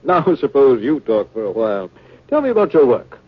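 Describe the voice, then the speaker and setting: A courteous, authoritative male university dean in a 1950s radio drama. His delivery is formal and probing, with a husky edge. The recording is old and muffled. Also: mid-range voice, very animated, normal speed, no echo.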